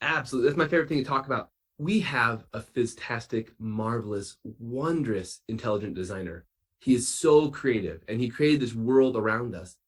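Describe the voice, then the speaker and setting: A man playing an excitable, wonder-filled science doctor for children, gushing and emphatic. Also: mid-range voice, moderate pace, slightly rough, natural voice. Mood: awestruck, excited. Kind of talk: kids' science show character.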